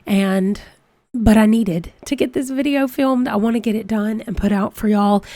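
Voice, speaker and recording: A woman's voice: mid-range voice, moderate pace, warm tone, no room sound. A woman hosting a let's play, chatty and reading out dice rolls, her voice congested from a cold. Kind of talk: casual game commentary.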